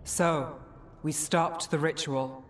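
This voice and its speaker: A woman voicing a wounded, cautious woman mage who speaks in a measured, skeptical way, in game dialogue. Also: low voice, steady tone, slight background noise. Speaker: party companion. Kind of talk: video game voice acting. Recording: game dialogue with clear music, long reverb.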